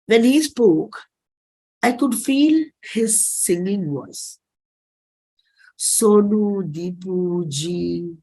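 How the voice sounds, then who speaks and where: A performer with an Indian accent giving a dramatic reading of a personal play script, deliberate, with pauses and repeated phrases. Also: mid-range voice, a little mellow, husky, poor audio. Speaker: performer and singer.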